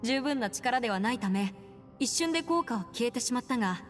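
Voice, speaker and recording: A woman's voice: on the high side, lively intonation, brisk, close to an everyday voice. A woman playing an earnest, clever young female adviser in a video game, articulate and resolute as she explains. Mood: thoughtful, explaining. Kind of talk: Japanese video game voice acting. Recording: long reverb, music behind.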